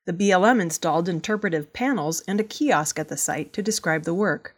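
A woman's voice: lowish voice, fairly quick, husky. A woman working as a news reader, narrating scripted news stories.

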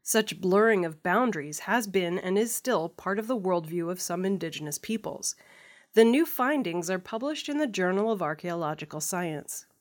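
A woman news host reading scripted stories in a measured, formal manner. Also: lowish voice, expressive.

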